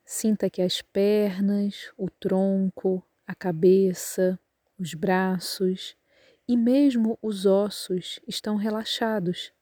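A Brazilian woman leads a guided relaxation with calm, measured instructions. Her voice is soft-edged and mellow, and she speaks very slowly. The sound is close and dry, with little room around it. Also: mid-range voice, no echo. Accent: Brazilian Portuguese. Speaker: yoga nidra guide.

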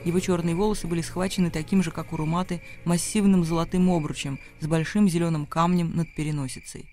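A male narrator of a radio drama with a notably high-pitched voice. He reads literary prose as scripted narration, with quiet music behind him. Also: fairly even intonation, fast.

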